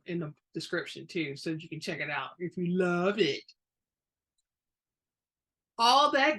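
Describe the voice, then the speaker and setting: A woman book reader with a medium-pitched, bright voice and a husky grain. She delivers her words expressively, with emphasis and a dramatic touch.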